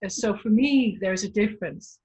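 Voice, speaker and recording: A woman's voice: mid-range voice, normal speed, a little mellow, slightly rough, rough recording. A woman whose first language is Danish, a tour guide and performer, talking in a conversational interview with frequent hesitations and restarts. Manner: hesitant, restarts often, laughs lightly.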